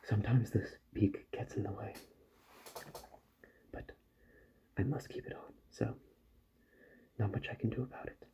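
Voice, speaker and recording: A man doing a soft-spoken ASMR roleplay as a calm, polite doctor who repairs robots, murmuring gently and often dropping into a whisper. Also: on the low side, highs cut off, noisy background. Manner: gentle, slow, murmured reassurance to the listener.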